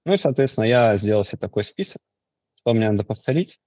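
Mellow, lowish young man in conversation. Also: expressive, fast, dry recording, lo-fi sound. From Vitebsk region, Belarus. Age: 20s. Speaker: interview guest, Android developer. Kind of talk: casual conversational answers.

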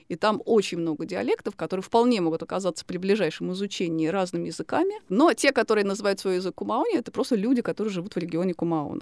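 A woman tells of her field research as a conversational interview guest. She moves quickly, often posing questions and answering them herself, with noticeable vocal fry in a medium-pitched voice. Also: darkish tone, no echo.

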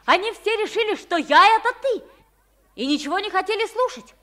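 A woman's voice: very high-pitched, expressive, a little fast, sharp tone, full character voice. An actress playing a good-hearted pauper boy posing as king, in a theatrical, earnest Soviet radio play style. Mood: excited, earnest.

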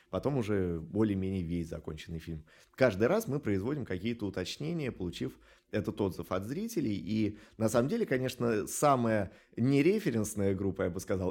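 Low, mellow-voiced man explaining thoughtfully. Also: slightly rough. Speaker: animation studio director being interviewed. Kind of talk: explanatory interview answer.

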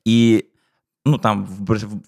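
A man's voice: fairly low pitch, level tone, slow, creaky, darkish tone. A man in his twenties, a podcast co-host, casually telling a personal story. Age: 20s.

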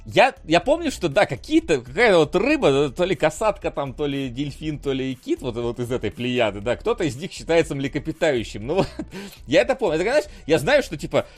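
Rambling man with a lively voice. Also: fairly high pitch, brisk, soft background music. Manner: rambling, many fillers and restarts, laughs often. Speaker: podcast co-host. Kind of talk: casual film discussion.

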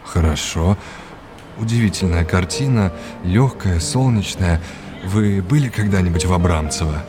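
A courteous art historian in an audio drama, with a low voice. He explains things like a teacher and likes to quiz his listener. Music plays clearly behind him. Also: a little slow. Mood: engaged, explanatory. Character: erudite male art historian, courteous expert guide.

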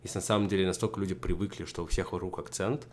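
A man's voice: low-pitched, fairly even intonation, racing. A young man in a casual conversation, explaining his points in a structured way with frequent fillers. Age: young adult. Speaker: podcast guest.

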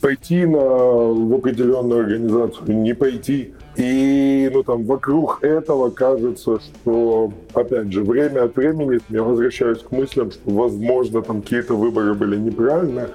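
Mellow, slightly strained man answering hesitantly. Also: medium pitch, fairly even intonation, moderate pace, faint music behind, rough recording. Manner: hesitant, hedging, roundabout reflective answers. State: voice strained after losing it the day before. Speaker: podcast guest, professional trainer. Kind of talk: reflective interview talk.

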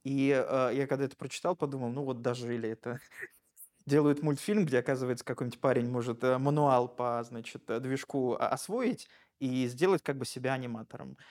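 A man with a medium-pitched voice acts as an interviewer. His delivery is informal and conversational, and he often searches for words while working through a long thought. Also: brisk, a little mellow. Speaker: interviewer, host.

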